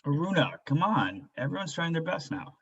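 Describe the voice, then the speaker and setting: A man's voice: fairly high pitch, big pitch swings, moderate pace, fairly bright tone, husky, dull audio, heavily acted. A man playing a worried, frustrated father in a staged drama reading, with a pleading, earnest manner. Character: worried, frustrated stay-at-home father and husband.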